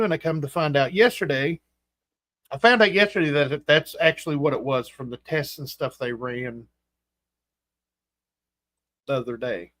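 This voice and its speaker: A man in his forties hosting a casual livestream chat, rambling and halting with fillers and restarts. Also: fairly high pitch, fairly bright tone, dry recording. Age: 40s. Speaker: host.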